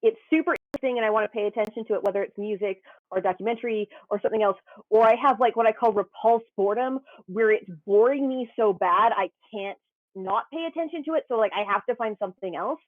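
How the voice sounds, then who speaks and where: A woman on a panel, talking in long, animated, rambling runs and cutting into her own sentences. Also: on the high side, fast talker, no echo. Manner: rambling, animated, self-interrupting. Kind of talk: casual panel discussion. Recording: tinny phone-like video call audio.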